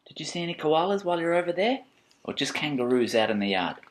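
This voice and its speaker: Animated man reading a bedtime story. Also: fairly high pitch, fast talker, slight background noise. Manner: expressive, playful, dramatic storybook emphasis. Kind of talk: animated picture-book read-aloud with chatty asides.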